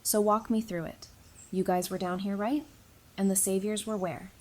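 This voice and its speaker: A woman's voice: medium pitch, steady tone, moderate pace, a little mellow, lightly acted. A woman playing an easygoing, friendly and sincere survivor in an audio drama. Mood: casual, reflective. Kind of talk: fan audio drama acting.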